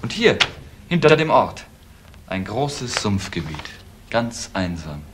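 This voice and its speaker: An actor playing a suspicious, needy young nephew in a crime radio play, with an intense, fixated way of talking. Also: male voice, fairly low pitch, very animated, slow, noisy background. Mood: brooding, insistent.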